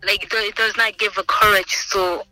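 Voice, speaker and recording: A woman's voice: mid-range voice, flat delivery, moderate pace, bright, forceful tone, no echo, low-quality recording. Woman, twenties, earnest, thoughtful, searching for words, very dry sound. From Senegal. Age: 20s. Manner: earnest, thoughtful, with frequent ums and restarts. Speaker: interviewee, student. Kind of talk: oral history interview. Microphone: remote video call.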